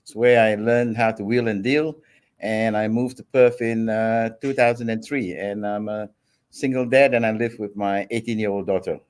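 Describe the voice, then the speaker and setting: A man in his forties from Singapore, speaking as a guest entrepreneur in an interview. He tells his story in a relaxed, steady way, with frequent ums and self-corrections. His voice sits fairly low. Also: normal speed.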